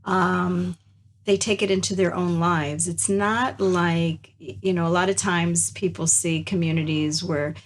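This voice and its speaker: A woman's voice: lowish voice, steady tone, unhurried, a little hiss or hum. A woman hosting an interview, talking in a chatty, hesitant way full of fillers. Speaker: interview host. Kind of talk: conversational interview.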